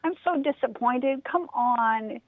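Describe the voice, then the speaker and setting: A woman's voice: a little high, takes it slowly, dry recording, voice pushed up. A woman voicing a restless, bored young male rabbit in a told story, pleading and eager, heard over a phone line.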